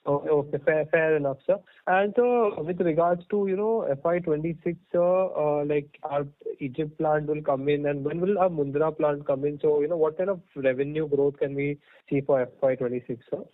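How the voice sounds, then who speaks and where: A man's voice: fairly high pitch, moderate pace, hardly any pauses. A man asking questions as a caller on an earnings call, in a deferential manner. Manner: deferential, often saying sir. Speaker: caller, investor or analyst. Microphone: telephone conference line. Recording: narrow tinny phone line.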